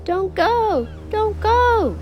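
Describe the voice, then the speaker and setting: A woman's voice: extremely high pitch, sing-song, very slow, a little mellow, faint music behind, pitched above the performer's own voice, full character voice. A woman voicing an urgent, pleading seagull in a children's storybook, calling insistently. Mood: alarmed.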